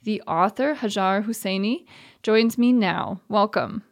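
A woman hosting an interview. She delivers scripted introductions and composed questions at a middle pitch, in the orderly style of an arts program presenter. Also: a little slow.

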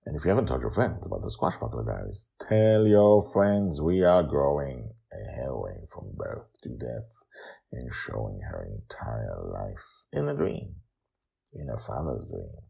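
A male author-host tells his fantasy serial in a low, creaky voice. His delivery is theatrical and very animated, with emphatic phrasing and dramatic pauses. The tone is husky and gravelly. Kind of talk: dramatic storytelling narration.

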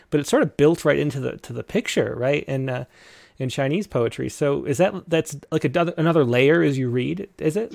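Gravelly man asking musing questions. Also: fairly high pitch, a little mellow, no room sound, clean studio sound. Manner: musing, loosely phrased questions. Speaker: podcast host. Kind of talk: conversational interview questions.

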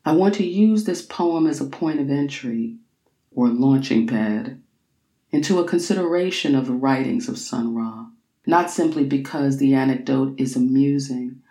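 A woman reading literary, scholarly prose aloud in a measured, deliberate way, with expressive phrasing and drawn-out words. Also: very deep voice, echoey room, low-quality recording. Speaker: reader of a book excerpt.

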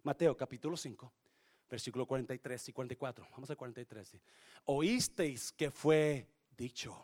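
A male pastor with a Spanish accent, preaching a sermon that switches between Spanish and English and swings from quiet to shouting. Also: mid-range voice, a little fast. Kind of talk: bilingual sermon.

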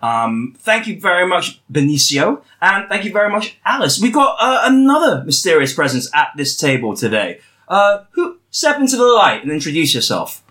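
A playful male host who jokes and laughs his way through a comedy panel. His pitch is lively and varied, and his tone is bright and clear. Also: normal speed, few pauses. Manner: playful, joking, laughs often.

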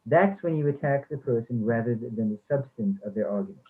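Male, mid-pitched actor playing formal, defensive academic; stage reading, narrow phone-grade audio. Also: lively intonation, a little fast, lightly acted. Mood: instructive. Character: defensive, haunted former English instructor, later retired. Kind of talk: readers theatre play reading. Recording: thin, phone-like sound.

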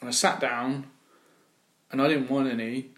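A man's voice: mid-range voice, flat delivery, moderate pace, a bit bright, roomy sound. A man hosting a podcast, telling rambling, self-mocking stories and laughing often. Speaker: podcast host. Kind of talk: casual chat.

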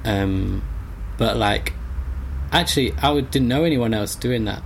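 A man chats reflectively as an interview guest, rambling and halting as he goes. His voice has a gravelly fry to it. There is a light layer of background noise behind him. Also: on the low side, poor audio. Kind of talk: casual reflective conversation.

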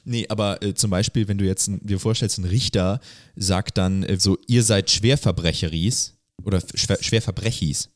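Casual male podcast host, low-pitched, rambling and colloquial, recorded dry. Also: normal speed, dry recording. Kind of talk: casual conversational podcast chat.

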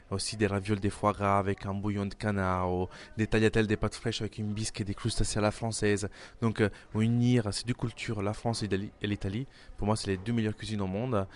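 Man in his twenties, low-pitched, hesitant, lots of fillers. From Italy, grew up in Milan. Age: 20s. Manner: hesitant, many fillers and restarts. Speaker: chef and restaurant owner. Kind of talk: casual interview answers.

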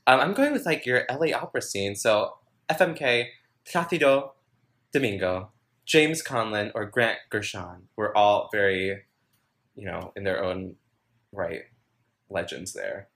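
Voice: medium pitch, lively intonation, measured pace, a bit bright, reverberant. A host interviewing a singer in a bubbly, giggly way, with many fillers. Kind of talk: casual interview chat.

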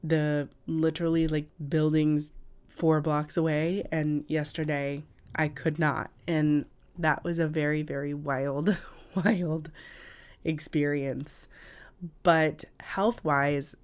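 Female podcast host, deep register, unhurried delivery; raspy, hoarse with a cold, conversational and halting. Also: little pitch movement, a little mellow. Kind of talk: casual solo podcast talk. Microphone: cough drops in mouth, podcast recording.

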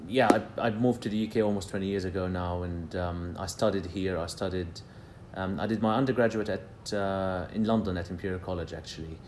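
A man in his thirties from Palestine speaks as a podcast guest in a very low, deep voice. He talks casually and haltingly, looping through fillers and false starts, over a faint low rumble. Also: darkish tone. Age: 30s. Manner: hesitant, many fillers and restarts.

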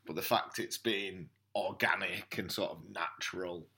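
A man's voice: low-pitched, steady tone, a little slow, gravelly, bright, forceful tone, breathy edge. A man chatting casually as a guest on a podcast. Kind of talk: casual chat.

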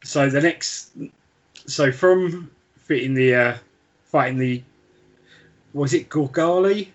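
A man's voice: fairly high pitch, takes it slowly, highs cut off. A man co-hosting a podcast, casually talking through a book with another person. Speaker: podcast co-host.

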